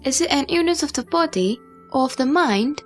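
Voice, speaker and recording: A woman playing an earnest bodhisattva who puts formal, measured questions to a sage in a scripture audio drama. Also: high-pitched, animated, faint music behind. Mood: earnest, inquiring.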